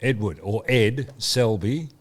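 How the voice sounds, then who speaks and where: An Australian man co-hosting a podcast, bantering, teasing and interrupting with quick laughs. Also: lowish voice, animated. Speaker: podcast co-host. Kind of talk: casual chat.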